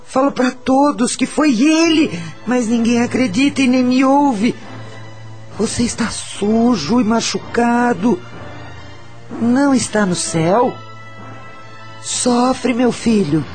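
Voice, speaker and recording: A woman playing the tormented, bitter ghost of a wronged mother in a slow, dramatic radio drama performance. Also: a little high, darkish tone. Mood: anguished lament. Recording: music under the voice.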